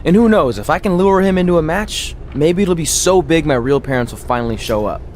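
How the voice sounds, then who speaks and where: A man's voice: on the high side, lively intonation, a little fast, darkish tone, slight background noise, lightly acted. An actor playing a brash, earnest young male wrestler with a talkative showman's manner. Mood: wry, hopeful.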